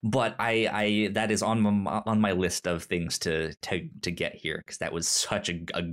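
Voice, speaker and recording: A man's voice: low-pitched, flat delivery, moderate pace, fairly bright tone. Man with a stutter, chatting casually. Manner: stuttering, repeats sounds and syllables. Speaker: podcast host. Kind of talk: casual podcast chat.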